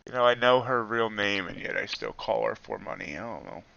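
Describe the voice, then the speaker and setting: A hoarse man hosting a livestream, chatting casually as he reacts to the chart and greets viewers. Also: on the low side, big pitch swings, brisk, bright, forceful tone, dull audio. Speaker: futures trader hosting a livestream. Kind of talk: casual live-stream market commentary.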